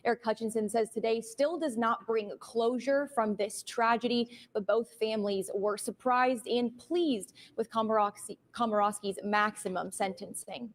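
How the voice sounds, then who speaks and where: A woman's voice: on the high side, steady tone, moderate pace, hardly any pauses. A woman narrating a scripted television news report as a reporter.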